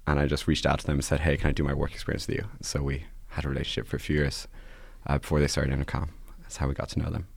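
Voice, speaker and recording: A man's voice: a bass voice, monotone, rapid-fire, creaky, dry recording, clean studio sound. A man, a podcast guest chatting casually and scattering "like" through his sentences. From Ireland. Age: 20s. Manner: rambling, with frequent "like" fillers. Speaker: podcast guest, startup CEO. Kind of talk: casual interview chat.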